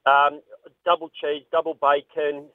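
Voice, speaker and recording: A man calling in to a radio show, talking in a relaxed, hesitant way with frequent ums. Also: fairly high pitch, unhurried, dry recording. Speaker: caller. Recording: phone line.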